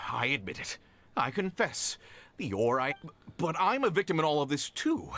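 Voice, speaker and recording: A man's voice: on the high side, sing-song, normal speed, pushed and bright, exaggerated voice. A man playing a flustered, anxious merchant in a video game, stammering and pleading. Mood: defensive pleading. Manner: stammering, exasperated.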